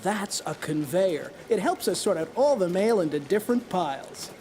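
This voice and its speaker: A man's voice: sits high, animated, normal speed, a little mellow, slight background noise. A man voicing a friendly, helpful mailman in a children's cartoon, kindly explaining things. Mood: kindly, reassuring. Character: friendly, helpful postal worker showing a child around.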